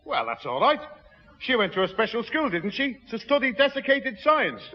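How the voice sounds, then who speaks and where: A pompous, jovial Northern English working man, high in pitch, blusters through grand words he gets wrong. It is sixties radio comedy, muffled and ringing with long reverb. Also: very animated, moderate pace. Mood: jovial, boastful. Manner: blustering, malapropism-prone. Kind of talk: 1960s radio comedy acting. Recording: muffled, echoing, noisy old radio broadcast.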